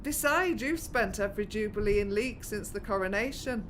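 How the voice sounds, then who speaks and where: An actress playing a wistful, caring middle-aged British daughter in a naturalistic audio drama, speaking gently and reflectively. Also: female voice, mid-range voice, lively intonation, moderate pace, slight background noise, close to an everyday voice. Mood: reassuring.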